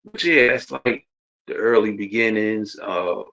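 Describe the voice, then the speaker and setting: A man's voice: fairly low pitch, measured pace, sharp tone. A man of about forty with a New Jersey accent, chatting casually and informally as he hosts a livestream, rambling and repeating himself. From Jersey City, New Jersey. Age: around 40. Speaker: host. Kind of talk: casual autobiographical livestream chat. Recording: dull, lo-fi stream audio.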